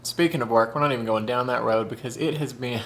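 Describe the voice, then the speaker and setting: A man's voice: medium pitch, fast talker, a bit bright, a little hiss or hum. A man, chatting casually and campily while eating on camera, sometimes with his mouth full. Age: 30s. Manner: chatty, camp, says "y'all" and "honey". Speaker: mukbang vlogger.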